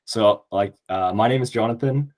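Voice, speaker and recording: A man's voice: fairly low pitch, normal speed, a little mellow. A young man from Canada, talking in an eager, upbeat way on a podcast, with many fillers. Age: young adult. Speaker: podcast guest, incoming university student. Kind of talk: casual podcast conversation.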